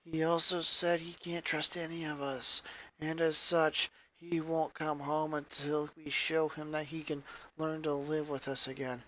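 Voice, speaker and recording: Storybook role: subdued teen girl, read by a man, solemn, weary, slightly raised pitch. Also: little pitch movement, measured pace, bright, forceful tone, slight background noise, rough recording.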